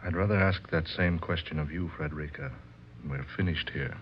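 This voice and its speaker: Sardonic doubting husband in old radio play, real low n husky, muffled recording. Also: male voice, fairly quick. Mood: sceptical, stubborn. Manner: dry, sardonic. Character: dry, stubborn, sceptical husband. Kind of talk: old-time radio drama acting.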